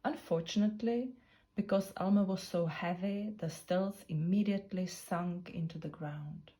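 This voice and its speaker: A woman narrating a children's picture book in a deliberate, expressive storytelling manner. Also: fairly low pitch. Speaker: storybook reader.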